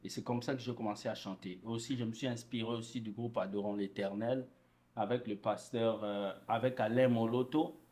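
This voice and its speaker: A man with a somewhat low voice talks through his past as a gospel singer in a relaxed, earnest way. He is from Congo and often restarts his sentences as he recalls details. His speech is casual and unhurried. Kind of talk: casual interview answers.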